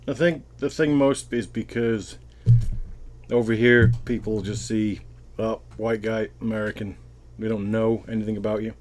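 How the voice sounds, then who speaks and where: Low-voiced Welsh man, rambling and thoughtful. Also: steady tone, normal speed, darkish tone. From Wales. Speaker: interviewee. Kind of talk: casual interview answers.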